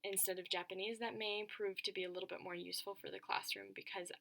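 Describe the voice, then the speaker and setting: Bright, gravelly young woman explaining. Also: medium pitch, level tone, fast talker. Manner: thoughtful, hesitant, many ums and restarts. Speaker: education student. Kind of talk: recorded class presentation.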